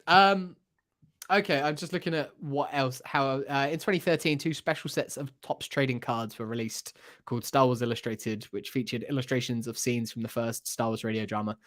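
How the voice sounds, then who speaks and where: A man's voice: mid-range voice, steady tone, normal speed, a bit bright. A man chatting casually about pop culture as a podcast host, hesitant and thinking aloud as he goes.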